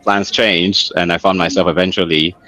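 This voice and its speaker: A middle-aged man with a Nigerian accent and a deep, low voice tells his story as an interview guest. He speaks conversationally and reflectively, pausing and repeating words while he gathers his thoughts. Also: level tone, bright, forceful tone, low-quality recording. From Lagos, Nigeria. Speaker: interview guest, author and engineer.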